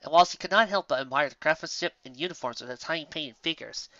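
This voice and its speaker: A woman's voice: low voice, fast talker, pushed and bright, no echo, lo-fi sound. A woman reading a story aloud as narrator, expressively and from a script.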